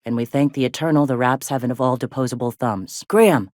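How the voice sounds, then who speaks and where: A woman's voice: very deep voice, fast talker, darkish tone, dry recording. A tough frontier rebel medic for a video game, recorded close and dry. She is plain-spoken and sardonic, an earthy, swearing second-in-command who sounds worn down by the fight. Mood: grim, urgent. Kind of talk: video game dialogue acting.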